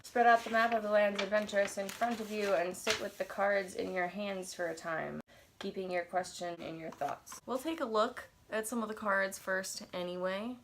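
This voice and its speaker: A woman's voice: medium pitch, steady tone, normal speed, slight background noise, rough recording. A young woman in her twenties, congested from allergies, chatting casually while unboxing an oracle deck in her bedroom and thinking aloud with wandering asides. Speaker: tarot and oracle deck reviewer. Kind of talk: casual unboxing chat with read-aloud passages. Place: cluttered bedroom, indoors.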